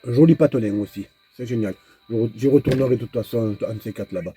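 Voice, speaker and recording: A man talks to his viewers in a loose, wandering vlog monologue, often stumbling and repeating himself while he searches for words. His voice is husky and very dark and mellow, with faint music underneath. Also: mid-range voice, fast talker. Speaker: nomad vlogger. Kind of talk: casual rambling vlog monologue.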